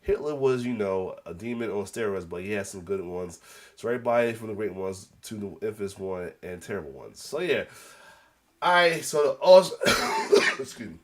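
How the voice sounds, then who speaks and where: A man's voice: lowish voice, lively intonation, moderate pace, slight background noise. A congested man with a cold, giving a casual, rambling news reaction as the host, with restarts and coughs. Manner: rambling, repeats "as well too", many restarts; occasional coughs.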